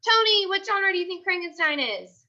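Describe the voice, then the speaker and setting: A woman teacher reading aloud to young children in an expressive storytelling way, with deliberate pauses. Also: extremely high pitch, lo-fi sound. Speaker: elementary school teacher.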